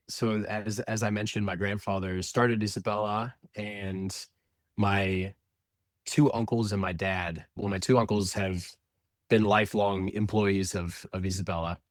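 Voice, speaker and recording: A man gives interview answers in a lowish voice. He explains things at length and often restarts his sentences. The sound is dry and close. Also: no room sound. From Indianapolis, USA. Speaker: company representative.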